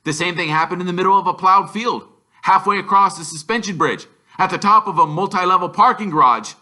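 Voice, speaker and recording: A man reading a children's story aloud with expressive, emphatic delivery, drawing out some words. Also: high-pitched, fairly quick. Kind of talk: animated storybook narration.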